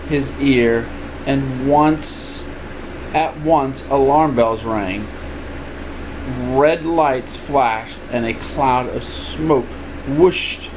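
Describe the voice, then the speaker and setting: An older man reads a children's storybook aloud at a slow pace. His delivery is informal and expressive, like a grandfather at home, and there is a clear air conditioning hum behind his voice. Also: medium pitch. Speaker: grandfather reading a picture book aloud. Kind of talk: storybook read-aloud with playful asides. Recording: steady room noise, air conditioning hum.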